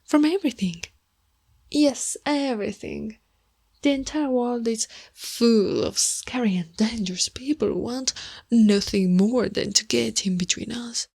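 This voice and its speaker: Woman as a breathy, obsessive goth girlfriend. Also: on the high side, exaggerated voice. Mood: possessive, menacing. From Italy. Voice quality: soft, breathy, often dropping into whispers. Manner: slow, cooing, possessive. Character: obsessive yandere goth girlfriend, sweetly menacing kidnapper. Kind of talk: ASMR roleplay acting, close and whispery.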